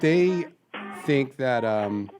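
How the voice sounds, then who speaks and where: A man on an improvised prank call, deadpan and pushy, often talking over the others. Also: mid-range voice, extremely slow, over music. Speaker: prank caller.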